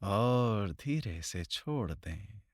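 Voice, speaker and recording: A man leading a guided meditation in slow, deliberate phrases with long pauses, recorded close to the mic. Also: on the low side, expressive, dry recording.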